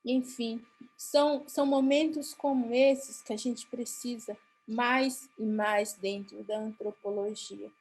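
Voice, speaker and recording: Slow, earnest Brazilian woman speaker. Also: a little high, fairly even intonation, a little mellow, husky, poor audio. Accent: Brazilian Portuguese. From Mato Grosso do Sul, Brazil. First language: Guarani. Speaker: conference keynote speaker. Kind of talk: formal conference talk.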